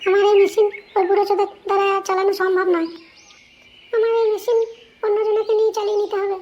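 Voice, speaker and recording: Very high-pitched cartoon wife. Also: female voice, flat delivery, quick pace, darkish tone. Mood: grumbling. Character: shrill, flirty, dissatisfied wife in a comedy cartoon. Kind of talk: amateur comedy cartoon dialogue. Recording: dull lo-fi audio with faint noise.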